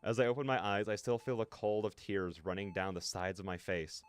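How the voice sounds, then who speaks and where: Low-voiced man streaming a game. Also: fairly even intonation, brisk, clear, resonant tone. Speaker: streamer reading a visual novel aloud. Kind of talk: dramatic read-aloud narration with casual reactions.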